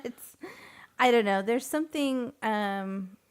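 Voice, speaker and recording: A woman's voice: a little high, slow, smooth. Tired, laughing woman co-host. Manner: laughs often, wry. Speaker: podcast co-host. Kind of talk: casual banter.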